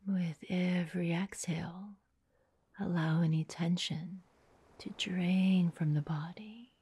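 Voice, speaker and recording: Female meditation guide: low, very breathy, soothing, slow delivery with long pauses. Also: steady tone. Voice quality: breathy, soft, half-whispered. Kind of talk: guided meditation, soft hypnotic narration.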